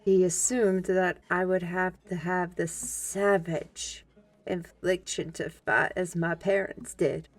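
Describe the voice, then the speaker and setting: A woman playing an earnest former slave turned scholar in an audio drama, telling a life story in a grave, deliberate way. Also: on the low side, level tone, low-quality recording. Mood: solemn, reflective.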